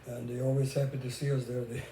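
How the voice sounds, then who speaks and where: A man's voice: mid-range voice, little pitch movement, fast, mellow. An older man from Hungary with a Hungarian accent, chatting casually as a vlogger about his own life. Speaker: vlogger sharing relationship experiences. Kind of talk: casual conversational talk. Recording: roomy echo with faint background noise.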